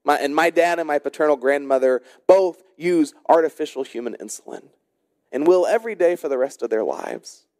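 A man's voice: a little high, sing-song, a little mellow, no room sound, clean studio sound. A man preaching a sermon as a pastor, telling stories and explaining scripture with emphatic, dramatic phrasing. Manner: emphatic storyteller, varies between brisk narration and slow dramatic phrasing. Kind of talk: sermon with storytelling and scripture exposition.

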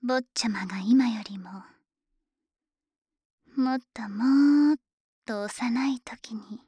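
A woman's voice: fairly high pitch, no echo. A woman playing an obsessive, possessive female dragon maid in an ASMR voice drama, speaking in polite servant phrases with a whispery, doting, menacing delivery, close to the microphone. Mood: teasing, confiding. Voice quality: breathy, whispery. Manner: slow, honorific servant speech, softly teasing. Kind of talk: Japanese ASMR situation voice drama. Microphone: close microphone, dry studio recording.

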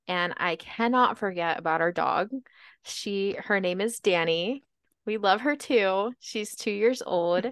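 A woman's voice: mid-range voice, a bit bright, no room sound, clean studio sound. A young woman from Baltimore co-hosting a podcast, telling stories in a chatty, upbeat way. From Baltimore, Maryland. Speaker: podcast co-host. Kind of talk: casual chat.

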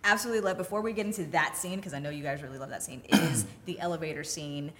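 A woman's voice: deep voice, fast talker. A woman co-hosting a podcast, casually and enthusiastically recounting a film. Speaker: podcast co-host. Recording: faint background noise.

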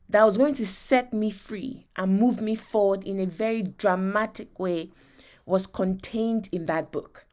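Emphatic Nigerian woman teaching on faith. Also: medium pitch. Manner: emphatic, repeats phrases and checks in with listeners. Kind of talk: motivational faith teaching with personal testimony.

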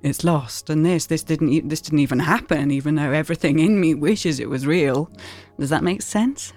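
An actress playing a wistful, anguished mother in an audio drama, speaking in a halting, emotional way. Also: deep voice, a little mellow. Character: wistful, anguished mother reviewing her memories. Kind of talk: naturalistic audio drama acting. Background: music.

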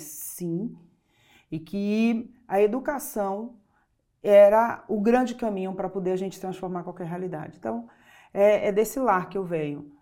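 A woman's voice: mid-range voice, dark tone. A middle-aged woman from Bahia, talking as an interview guest in an earnest, reflective way. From Bahia, Brazil. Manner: reflective, earnest, with fillers and restarts. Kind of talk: interview conversation.

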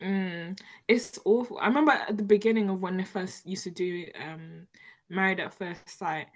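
A woman's voice: medium pitch, fairly even intonation, moderate pace. A woman co-hosting a podcast in casual, emphatic chat, repeating words to stress her point. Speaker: podcast co-host. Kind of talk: casual chat, reality TV recap.

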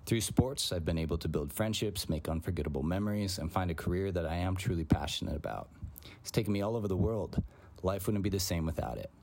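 Greek-born man, forties, low pitch, steady reflective reader. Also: fast talker. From Greece. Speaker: coach and teacher. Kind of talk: read personal essay.

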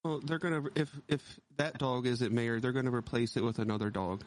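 Teasing, foul-mouthed podcast host. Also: male voice, medium pitch, fairly quick. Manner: animated storyteller, teasing, heavy swearing. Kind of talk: casual chat.

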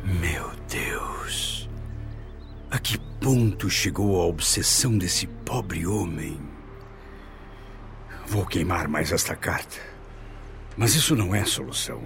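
A man's voice: low-pitched, animated, slow pace, creaky, pauses often, fairly bright tone, heavily acted. An actor playing a compassionate, devout middle-aged father in a Brazilian radio soap, speaking with earnest, solemn concern. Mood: troubled. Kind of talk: radio soap opera acting. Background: music.

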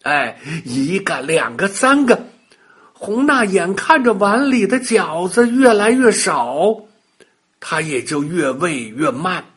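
A man telling a children's bedtime story in a dramatic, expressive way, with exclamations and sound words. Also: sits high, takes it slowly, husky.

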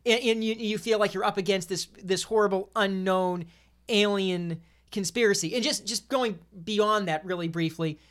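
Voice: mid-range voice, moderate pace, fairly bright tone. A speaker chatting casually about video games on a podcast in a rambling, stammering way, often repeating phrases.

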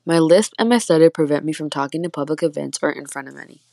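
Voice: mid-range voice, quick pace, vocal fry, heavy background noise. A teenage student with a lisp and a stutter, reading a personal reflection candidly.

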